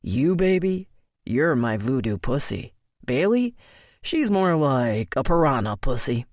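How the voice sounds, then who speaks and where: A woman's voice: rumbling low, sing-song, measured pace, a little mellow, no room sound, clean studio sound, pitched below the performer's own voice, full character voice. A woman voicing a cocky, teasing young man. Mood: joking.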